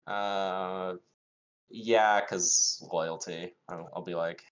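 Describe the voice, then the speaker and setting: Male roleplay gamer, low pitch, casual joking conversational delivery. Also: steady tone, drawn-out words. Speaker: player.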